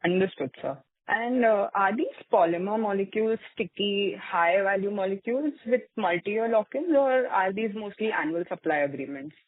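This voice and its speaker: A woman's voice: medium pitch, animated, normal speed, dry recording. A woman, politely and formally putting questions as an analyst on a conference call. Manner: polite, deferential. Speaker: investment analyst asking questions. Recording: dry, tinny phone line.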